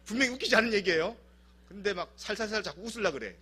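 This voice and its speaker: Animated, high husky male pastor. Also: fast. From South Korea. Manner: animated, humorous storytelling. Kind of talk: sermon. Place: church service.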